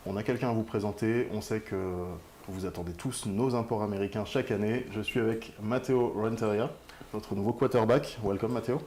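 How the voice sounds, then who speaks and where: Male sports interviewer, low register, club web channel host, slight background noise. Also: steady tone, fairly quick, a little mellow.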